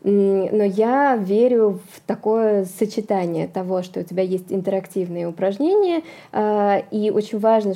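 A woman talking in a measured, explanatory way in a casual conversation. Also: medium pitch, dark tone.

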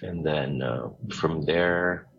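A middle-aged man from Hong Kong, a guest telling his story in a relaxed, rambling way with many fillers. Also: rumbling low, takes it slowly, husky, lo-fi sound. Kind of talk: casual interview talk.

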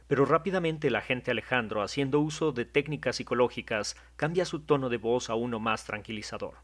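Man narrating a drama. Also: medium pitch. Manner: measured, descriptive storytelling. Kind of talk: scripted audio drama narration.

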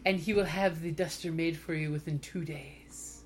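A woman's voice: sits low, steady tone, moderate pace, a little hiss or hum. A woman narrating a tabletop roleplaying game as game master in a playful, teasing way. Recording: music behind the voice.

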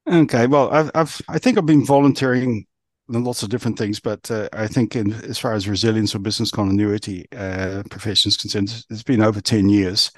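A man with a mixed New Zealand accent giving conversational interview answers as a podcast guest, in halting phrases. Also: lowish voice, steady tone, no room sound. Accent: New Zealand, mixed by years abroad. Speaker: podcast guest, resilience professional.

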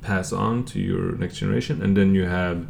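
Male co-host, lower pitch, conversational and hesitant when explaining, faintly noisy recording. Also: fairly even intonation, fairly quick, creaky, darkish tone. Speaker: podcast co-host. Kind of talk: casual chat.

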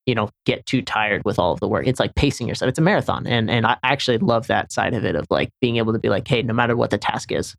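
A man's voice: lowish voice, fast talker, vocal fry, few pauses, dry recording. A young man from Senegal, a camera assistant chatting casually on a podcast, rambling with many restarts.

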